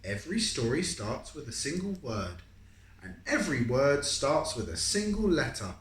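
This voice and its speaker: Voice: mid-range voice, sing-song, normal speed. An adult reading a picture book aloud to a young child, encouragingly, with guiding questions and stretched-out story phrases. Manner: encouraging, asks guiding questions and confirms answers. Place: echoey room at home. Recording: roomy echo, some background noise.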